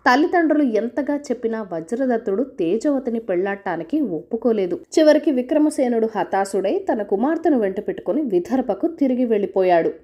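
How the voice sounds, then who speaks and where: Storyteller, female. Highish voice, very animated melody, scripted folk-tale narration. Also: fairly quick, few pauses, highs cut off.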